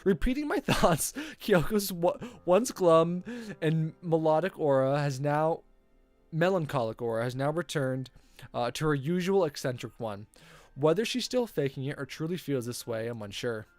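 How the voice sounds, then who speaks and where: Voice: medium pitch, expressive, normal speed, darkish tone, no room sound, faint music behind. A game streamer reading a visual novel aloud in a playful, theatrical way, stumbling over the text and reacting casually.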